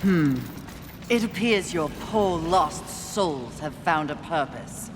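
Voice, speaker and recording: A woman's voice: low voice, lively intonation, slow pace, background noise. An actress playing a haughty, battle-hungry female horseman of the apocalypse, sardonic and imperious, in a video game.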